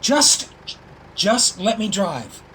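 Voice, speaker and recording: A man's voice: high-pitched, big pitch swings, extremely slow, a bit bright, full character voice. Male, playing a cheeky wanted man for radio play: insistent, wisecracking, slightly noisy recording. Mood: urgent. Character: plucky, wisecracking wanted hero on the run. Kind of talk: audio drama acting. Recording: noisy home-device recording.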